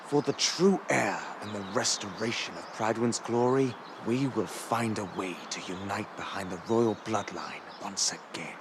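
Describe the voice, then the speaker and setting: Solemn loyal scholar in a fantasy game, determined, lowish, noisy background. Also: male voice. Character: earnest, determined royalist scholar seeking a true heir. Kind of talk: video game character monologue.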